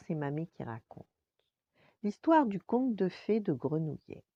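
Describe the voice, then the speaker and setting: A woman's voice: fairly low pitch, no echo. Older woman storyteller, dry muffled sound. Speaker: grandmother storyteller. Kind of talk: expressive bedtime storytelling for children.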